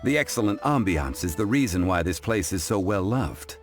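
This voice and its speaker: An actor playing a composed, refined gentleman connoisseur in a game, formal, measured and politely exacting. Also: fairly low pitch, lively intonation, soft background music, close to an everyday voice. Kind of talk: English game dub cutscene acting.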